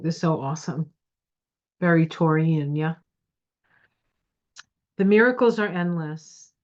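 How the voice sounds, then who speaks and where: A woman's voice: sits low, darkish tone. A woman with a stuffy nose giving a casual, encouraging tarot reading at her home computer. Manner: encouraging, reassuring. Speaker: tarot reader. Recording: dull lo-fi sound.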